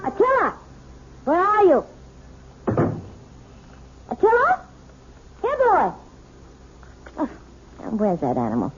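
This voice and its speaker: An actress playing an outwardly sweet, secretly vengeful housewife in a 1970s radio drama, with a fluttery, coaxing manner. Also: female voice, very high voice, wildly swooping pitch, slow pace, long pauses, full character voice. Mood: searching, cooing. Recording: muffled, noisy old radio recording.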